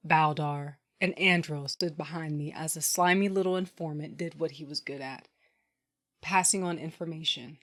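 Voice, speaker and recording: An actress playing a tough, sardonic underworld boss who narrates her own story bluntly in an audio drama. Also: deep voice. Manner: blunt, wry. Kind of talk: first-person dramatic narration and acting.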